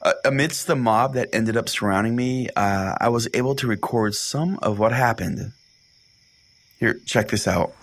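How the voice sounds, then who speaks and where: A man's voice: mid-range voice, normal speed. A man hosting a comedy show as a mock-serious, rambling pastor. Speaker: host playing a pastor of a comedy call-in show. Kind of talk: comedy podcast monologue and banter.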